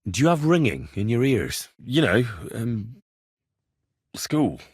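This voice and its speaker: An actor playing a respectful, earnest young vagabond who poses as a learned medieval healer in a video game, speaking in measured, formal address. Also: male voice, mid-range voice, very animated, husky, no echo, studio quality, heavily acted. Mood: apologetic, hesitant. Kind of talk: scripted video game dialogue.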